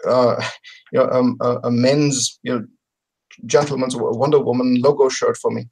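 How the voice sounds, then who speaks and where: A man in his forties chatting casually as a guest on a video call, explaining things haltingly and thinking aloud. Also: mid-range voice, fairly even intonation, a little mellow, rough recording. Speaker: podcast guest. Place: home room with bookshelves. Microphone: computer mic on a video call.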